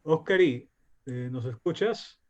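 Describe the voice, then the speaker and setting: Modest male moderator, slightly high voice, muffled lo-fi call audio. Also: animated, slow pace, darkish tone. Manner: modest, laughs easily. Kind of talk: casual chat.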